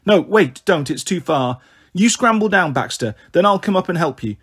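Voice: medium pitch, animated, moderate pace, a bit bright, husky. A reader voicing an anxious, bossy young girl minding her siblings in a storybook, urgent and coaxing.

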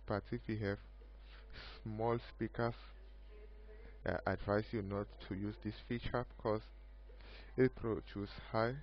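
A man giving an unscripted screen-recorded software demo of his own project, speaking haltingly in short broken phrases. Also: on the low side, creaky. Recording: muffled lo-fi mic with background hum.

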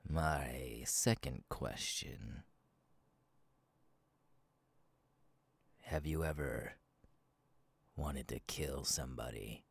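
A man playing a menacing, taunting masked slasher killer in an ASMR roleplay, gravelly and partly whispered, speaking slowly and deliberately close to the mic. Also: very deep voice, no room sound, full character voice. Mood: coaxing, sinister. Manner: slow, deliberate, taunting, drawn-out pauses. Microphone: close microphone.

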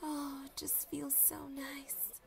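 Casting: actress as chatty, lovesick college girl for audio roleplay; high pitch, swoony, dramatic delivery. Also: monotone, takes it slowly, vocal fry, full character voice. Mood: dreamy, smitten. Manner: gushing, dramatic, babbly, sighing. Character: lovestruck, chatty young female student gushing about a crush. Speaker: voice actor. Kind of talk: audio roleplay, one-sided conversation with friends.

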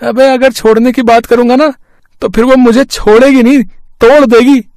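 Man as whiny village husband, cartoon, theatrical, complaining, high-pitched. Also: level tone, normal speed, darkish tone, dry recording. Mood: aggrieved.

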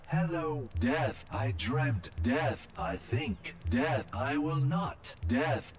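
An actor playing a serene, wise robot monk in a video game, speaking in calm, measured phrases. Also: male voice, medium pitch, exaggerated voice.

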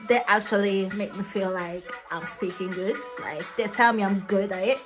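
A young woman in her twenties talks straight to camera from a small room, playful and animated and quick to laugh. Her voice sits at an ordinary middle pitch. Faint music plays low underneath. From Ghana. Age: young adult. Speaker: vlogger. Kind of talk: casual chat to camera.

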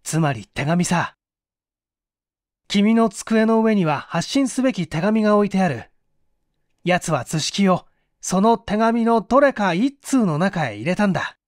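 A man voicing a hot-headed, sharp-reasoning man in an audiobook mystery, speaking forcefully and emphatically. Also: high-pitched, expressive, dry recording, clean studio sound. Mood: insistent reasoning. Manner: forceful, emphatic, quick to conclude.

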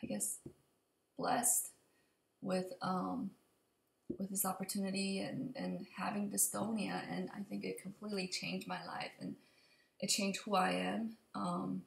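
A young woman talks casually to her computer at home, feeling her way through an unplanned update. Her voice is a little raspy from allergies and often drops into creak. She speaks slowly and hesitantly, and the room adds a clear echo. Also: medium pitch, flat delivery. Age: young adult. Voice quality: slightly raspy. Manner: hesitant, halting, rambling. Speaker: vlogger. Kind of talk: unscripted casual update to camera. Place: indoor open kitchen and living room. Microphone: computer mic at desk distance. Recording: echoey room, computer mic.

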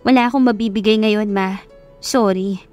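A woman voicing a gentle young wife and dutiful daughter, apologetic and earnest, in a romantic audio story. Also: medium pitch, fairly even intonation, moderate pace, soft background music, natural voice. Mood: meek.

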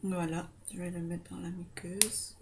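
Woman, 40s, slightly congested; soft, slow, hesitant makeup-tutorial host on a close webcam in a small room. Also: fairly low pitch, little pitch movement, gravelly, background noise. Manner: soft, hesitant, talks while applying makeup. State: slightly stuffy nose from a cold or allergy.

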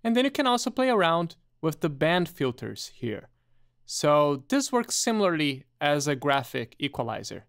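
A man presenting a step-by-step software tutorial in a methodical, explanatory way. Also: on the high side, very animated, moderate pace, gravelly, smooth.

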